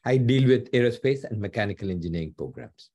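A man from India, an engineering professor, giving a brief halting introduction over a video call. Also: on the low side, expressive, dark tone, breathy edge.

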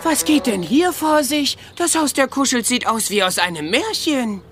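Highish, naive little tomcat. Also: female voice, expressive, normal speed, faint music behind. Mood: earnest. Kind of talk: children's radio play acting.

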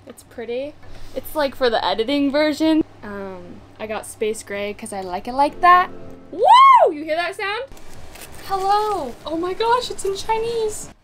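A woman's voice: sits high, sing-song, measured pace, over music. Bubbly teen girl vlogging on her phone. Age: teens. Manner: bubbly, chatty, upbeat with frequent "oh my gosh". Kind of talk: casual vlog chat to camera. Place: sailboat cabin and sunny beach outdoors. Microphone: handheld action camera at arm's length.

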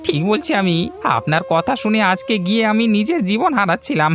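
A man's voice: high-pitched, very animated, normal speed, fairly bright tone, soft background music. A man voicing a frightened, aggrieved young henchman in a cartoon fairy tale, speaking in a pleading manner. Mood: aggrieved refusal. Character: beaten, frightened young male henchman quitting crime.

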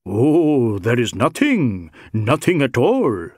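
A man's voice: mid-range voice, wildly swooping pitch, slow pace, no echo, studio quality, heavily acted. A man voicing a big, brawny Sikh rogue in an audiobook, sly and teasing, with wheedling mock deference. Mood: slyly menacing. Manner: wheedling mock deference, Indian English phrasing. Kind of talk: audiobook character dialogue.

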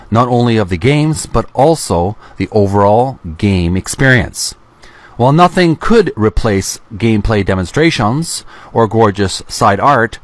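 A middle-aged man hosting a video, reading aloud from a book with casual commentary in a halting, deliberate way into a close microphone. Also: medium pitch, no room sound. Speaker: video host.

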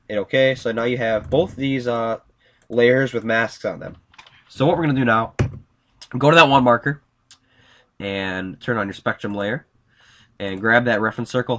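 A young man with a stuffy, nasal voice, pitched a little low, talks viewers through a screen-recorded editing tutorial. He is casual and upbeat, filling gaps with plenty of ums and uhs. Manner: casual, upbeat, many fillers. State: congested from a cold. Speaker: tutorial host.

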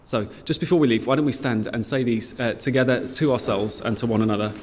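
A man's voice: medium pitch, fast talker. Male church leader, 30s, easygoing delivery, reverberant hall with faint hiss. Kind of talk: leading prayers and dismissal. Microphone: stand mic.

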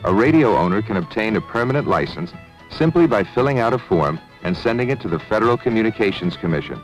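A man narrates in a formal, measured documentary style. His voice sits low. Music plays behind him on a 1970s film soundtrack. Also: lively intonation, low-quality recording. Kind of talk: scripted newsreel-style narration. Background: background music.

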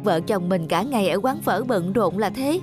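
A woman playing a gentle, devoted young wife and mother in an animated drama, soft and affectionate. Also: mid-range voice, normal speed, over loud music, close to an everyday voice. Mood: earnest, wistful.

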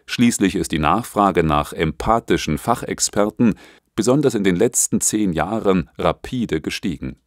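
Low-voiced guy reading an audiobook, scripted, really dry close sound. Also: lively intonation, moderate pace, no echo. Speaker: audiobook narrator.

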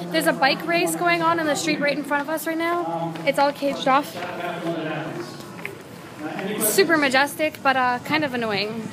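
A woman's voice: high voice, fairly even intonation, normal speed, long pauses. A young woman chatting casually to her phone as she vlogs outdoors in a city. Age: young adult. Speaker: vlogger. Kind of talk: casual handheld vlog chat. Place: outdoors on busy city streets. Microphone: phone held at arm's length. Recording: noisy street with crowd chatter.

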